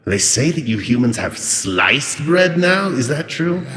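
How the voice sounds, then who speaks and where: An actor playing an ancient Egyptian god of chaos in an audio drama, petulant and wry, with a theatrical, sardonic manner. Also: male voice, medium pitch, big pitch swings, unhurried, a bit bright. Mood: aggrieved, wry. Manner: theatrical, grandly sardonic, grumbling. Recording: long cavernous reverb on the voice.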